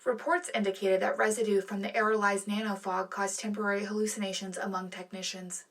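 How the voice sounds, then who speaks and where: A woman's voice: medium pitch, level tone, fairly quick, fairly bright tone, close to an everyday voice. A woman playing an earnest, patriotic wartime radio co-anchor, reading scripted news in a sincere, dramatic way. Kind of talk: scripted newscast narration.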